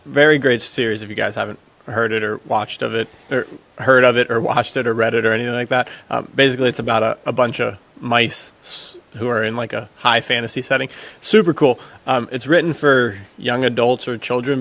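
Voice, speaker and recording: A man with a mid-range, noticeably creaky voice talks casually as a panelist on a podcast. He rambles along with enthusiasm, in a conversational style. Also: medium pitch, steady tone, brisk, a bit bright. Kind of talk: casual chat.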